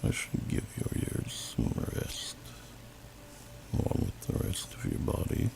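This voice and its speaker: A man voicing a gentle, wise old male dragon in slow, formal, archaic speech for an ASMR fantasy roleplay, with a growling, breathy voice. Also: close to an everyday voice. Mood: calm, musing. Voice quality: very deep, gravelly, breathy growl. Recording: noisy amateur home recording.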